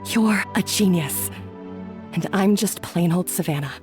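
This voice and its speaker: An actress playing an insecure young head chef who talks in a subdued, hesitant way in a game cutscene. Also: female voice, fairly low pitch, unhurried, a little mellow, close to an everyday voice. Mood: downcast. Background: faint game music.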